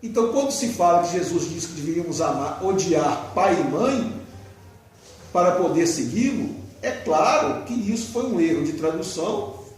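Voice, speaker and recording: A Brazilian man lectures at a spiritist gathering, laying out his points in a measured, explanatory way and asking rhetorical questions. His voice sits high and is picked up from a distance. The hall around him rings with a very strong echo and a faint hum of room noise. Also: animated. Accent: Brazilian Portuguese. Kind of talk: religious lecture. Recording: very echoey hall, distant mic.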